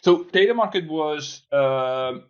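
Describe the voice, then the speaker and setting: A man from Iceland, for whom English is not a first language, talks through his answers haltingly, with frequent "uh"s and restarts. His voice sits a little higher than most men's. The recording sounds slightly dull and muffled. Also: animated, clean tone. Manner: hesitant, many restarts and uhs. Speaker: guest, startup founder. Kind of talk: casual interview talk.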